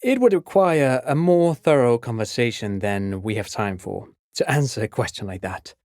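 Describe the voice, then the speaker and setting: A man's voice: mid-range voice, animated, normal speed, a little mellow, no room sound, studio quality, lightly acted. A male actor playing a polite, enthusiastic, pedantic intellectual in a video game, explaining things in an erudite, courteous way. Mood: courteous, musing. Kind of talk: video game dialogue acting.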